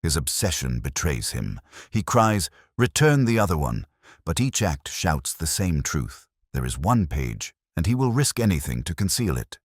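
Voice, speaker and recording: Guy doing a calm thoughtful police inspector in a storybook, super low voice, deliberate, dry sound. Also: dry recording, heavily acted. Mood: quietly reasoning. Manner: calm, deliberate, softly reasoning. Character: mild, thoughtful male English police inspector. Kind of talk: storybook character dialogue.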